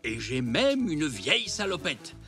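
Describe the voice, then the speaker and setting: A man's voice: a little high, huge pitch swings, unhurried, sharp tone, heavily acted. A man voicing a kindly old zebra grandfather in a French children's cartoon, with a jovial, theatrical delivery. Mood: kindly, helpful. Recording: music behind the voice. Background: children's cartoon music.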